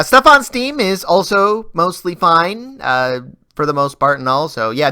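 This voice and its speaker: Bright, clear voice giving a casual update. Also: mid-range voice. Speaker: video game Let's Player. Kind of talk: rambling casual update voice-over.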